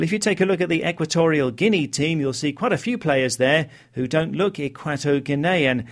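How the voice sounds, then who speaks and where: A man presenting a radio football show, reading out contact details and introducing the next report. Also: a little high.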